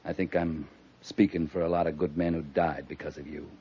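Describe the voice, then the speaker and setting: An actor playing a stern, principled cavalry captain with measured authority and dry humor in a 1950s radio drama. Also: male voice, extremely low pitch, fairly even intonation. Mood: grave, restrained. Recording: muffled, noisy 1950s radio recording.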